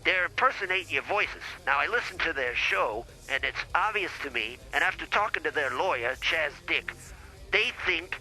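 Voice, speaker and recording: A man's voice: a little high, pushed and bright, exaggerated voice, poor audio. A man playing a blustering small-time lawyer in improvised comedy podcast banter, folksy and rambling, stumbling over his words. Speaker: lawyer character, show guest.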